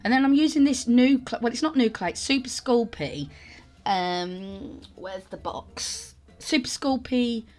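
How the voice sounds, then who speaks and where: A woman's voice: on the high side, measured pace, a little mellow. A woman hosting a sculpting tutorial voice-over, chatty and self-deprecating, her voice cracking from a sore throat. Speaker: sculpting channel host.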